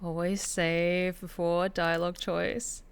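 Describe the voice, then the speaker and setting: A woman's voice: on the low side, little pitch movement, slow, smooth. A woman streaming a visual novel playthrough, mixing commentary with reading aloud in a wry, theatrical way.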